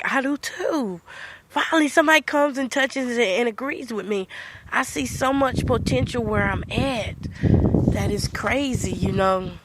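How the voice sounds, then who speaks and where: An older woman with a congested voice from a cold, hosting a podcast and casually telling her viewers a story in a chatty, sing-song way. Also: fairly high pitch. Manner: chatty, animated, sing-song Southern talk. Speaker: podcast host. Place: rural home.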